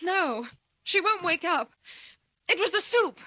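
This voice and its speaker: A woman's voice: very high-pitched, expressive, takes it slowly, bright, forceful tone, no echo, full character voice. An actress playing a frightened young woman, pleading urgently, in an old radio crime drama. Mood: desperate pleading. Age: young adult.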